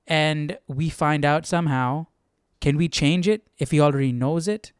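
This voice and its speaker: Male livestream host: conversational, musing aloud, somewhat raised pitch, very little room sound. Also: normal speed, clear, resonant tone, dry recording, clean studio sound. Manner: thinking aloud, often seeking agreement with tag questions. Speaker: livestream Bible study host. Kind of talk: casual commentary.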